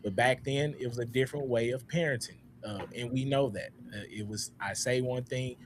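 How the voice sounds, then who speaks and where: A man in his thirties hosting a casual panel talk, speaking in a reflective, unhurried way with many "uh"s and a loud voice. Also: mid-range voice, noisy background. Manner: relaxed, reflective, frequent "uh" and "all right?". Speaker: podcast host.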